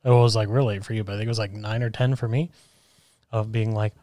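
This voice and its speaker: A man with a deep voice co-hosting a podcast, talking in a loose, rambling, self-deprecating way through a mic and soundboard at home. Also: steady tone, fast, warm tone, no room sound. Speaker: podcast co-host. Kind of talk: casual chat. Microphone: microphone through a soundboard.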